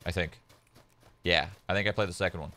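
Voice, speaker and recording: Deep-voiced young man, laid-back streamer. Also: fairly even intonation, a little fast, bright, forceful tone. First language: Polish. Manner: laid-back rambling with many "like" fillers. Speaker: game streamer. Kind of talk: casual chat while gaming.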